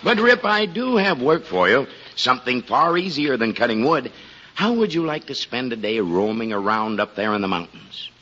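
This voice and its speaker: An actor playing a sociable, coaxing, slightly fussy village innkeeper in a hearty, mannered 1940s radio style. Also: male voice, medium pitch, animated, normal speed, bright, forceful tone. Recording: muffled, reverberant, faintly noisy 1940s radio recording.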